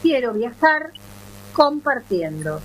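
A woman's voice: on the high side, sing-song, slow pace, slight background noise, rough recording. A woman with an Argentine accent giving a travel column on a radio show, talking in an upbeat, promotional way. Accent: Argentine Spanish. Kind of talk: casual radio chat.

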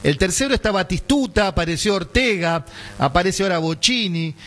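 An Argentine man hosts a radio segment in a high voice, chatty and loose. He leans on fillers and keeps asking "right?" as he talks things through. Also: animated, a little fast, fairly bright tone. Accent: Argentine Spanish. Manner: chatty, many fillers and tag questions. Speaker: radio host. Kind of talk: casual radio chat.

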